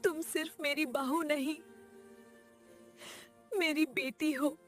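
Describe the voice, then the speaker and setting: A woman's voice: high-pitched, steady tone, slow, fairly bright tone, soft background music, voice pushed up. A woman voicing an older mother-in-law, stern but affectionate, in a Hindi cartoon story. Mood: tender.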